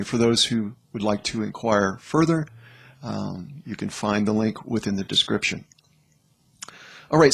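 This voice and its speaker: Slow, husky male host. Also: mid-range voice. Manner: halting, broken phrasing with frequent check-ins. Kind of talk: informal explanatory commentary.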